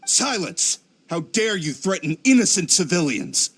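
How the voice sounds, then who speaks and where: Husky, commanding city guard. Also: male voice, fairly high pitch, huge pitch swings, measured pace, a bit bright, heavily acted. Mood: stern, outraged. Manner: commanding, formal. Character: stern, indignant male city guard soldier. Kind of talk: English video game dub acting.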